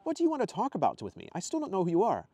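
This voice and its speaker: A polite male newcomer in a story read by a man, somewhat bewildered. The tone is mellow and the pitch moves freely as he speaks. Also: wildly swooping pitch, rapid-fire, dry recording, heavily acted. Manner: polite, puzzled.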